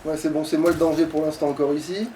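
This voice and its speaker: A man's voice: on the high side, little pitch movement, fast, warm tone. A man chatting casually as he plays through a video game, often swearing and joking crudely. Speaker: let's play gamer playing. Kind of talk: casual gaming chat with a co-commentator. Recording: distant mic, faint background noise.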